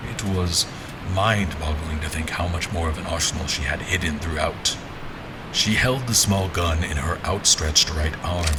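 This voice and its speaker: A man as a very deep-voiced general recounting adventure. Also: noisy background. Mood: measured suspense. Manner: measured, literary, dramatic. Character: seasoned male general narrating his sea adventure. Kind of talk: dramatic first-person scripted narration. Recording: music under the voice.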